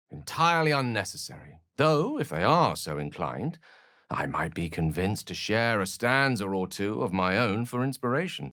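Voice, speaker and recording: Eloquent, animated wizard, low-voiced. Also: male voice, no echo, studio quality. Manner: eloquent, wry, theatrical, bookish phrasing. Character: learned, witty, self-assured male wizard adventurer. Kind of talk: video game character voice acting.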